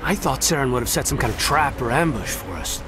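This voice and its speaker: A man's voice: mid-range voice, animated, a little fast, noisy background, lightly acted. An actor voicing a wary, wry male soldier squadmate. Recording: game audio with strong music.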